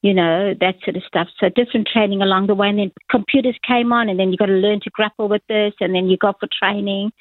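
A woman's voice: medium pitch, steady tone, fast, few pauses, dry recording. A woman in her sixties from South Africa, telling her life story as an interview guest in a chatty, rambling way with frequent laughs. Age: 60s. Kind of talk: casual testimony interview. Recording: tinny phone line.

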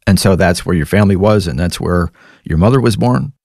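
A man hosting an interview, reading a scripted introduction and asking measured, attentive questions. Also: sits low, creaky, a little mellow, no room sound, studio quality. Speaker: host.

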